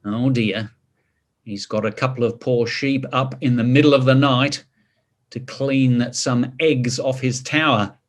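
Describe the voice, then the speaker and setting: A man reading a picture book to children, expressive, drawing out words and adding chatty asides and questions. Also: mid-range voice, measured pace. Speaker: story reader.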